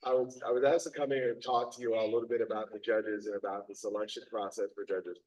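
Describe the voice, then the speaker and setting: Hoarse man speaking haltingly, distant mic. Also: fairly low pitch, little pitch movement, a little mellow. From Maryland, USA. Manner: halting, many ums and restarts. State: hoarse, lost his voice. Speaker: circuit court judge. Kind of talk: community meeting talk. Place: meeting room.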